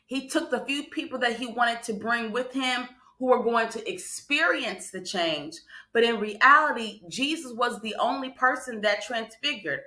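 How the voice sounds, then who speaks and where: Bright, forceful woman preaching motivation. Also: a little high, moderate pace. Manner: emphatic, repeats phrases for stress, conversational asides to listeners. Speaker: motivational speaker and preacher on a morning show. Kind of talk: motivational sermon teaching in numbered points. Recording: roomy, echoing livestream sound.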